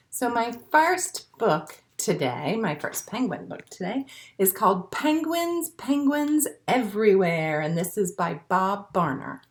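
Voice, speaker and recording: A woman's voice: medium pitch, fairly bright tone, slight background noise. A woman leading a children's storytime as a librarian, reading picture books and rhymes aloud in simple, encouraging phrases. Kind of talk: animated read-aloud storytime for young children.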